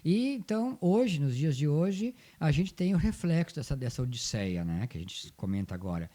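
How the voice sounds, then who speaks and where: Mellow, animated southern Brazilian man. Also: fairly high pitch, normal speed. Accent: southern Brazilian, Rio Grande do Sul. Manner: emphatic, repeats phrases for effect. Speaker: interview guest, folk dance group coordinator. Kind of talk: conversational storytelling.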